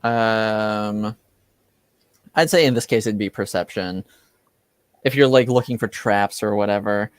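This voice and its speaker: Slow, hesitant man with a smooth voice. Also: fairly low pitch, no room sound, studio quality. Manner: hesitant, halting delivery. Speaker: game master. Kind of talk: running a tabletop roleplaying game.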